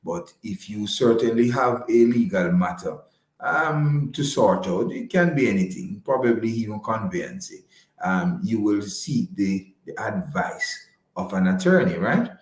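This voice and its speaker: A man speaking as an interview guest, measured and courteous, with slightly formal wording. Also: lowish voice, animated, roomy sound. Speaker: interview guest, financial advisor. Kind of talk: conversational radio interview.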